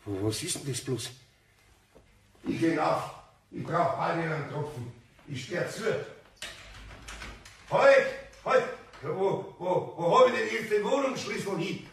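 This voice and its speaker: Radio-play role: agitated Bavarian tradesman, high creaky voice, stammers and repeats himself, exasperated. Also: animated, measured pace, long pauses, fairly bright tone, slight background noise. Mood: flustered, muttering. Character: flustered, exasperated male craftsman, master wood turner. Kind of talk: German radio play acting.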